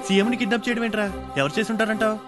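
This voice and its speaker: A man's voice: sits high, flat delivery, racing, loud music behind the voice, natural voice. An actor playing a curious, chatty male onlooker in a film, speculating in casual colloquial speech.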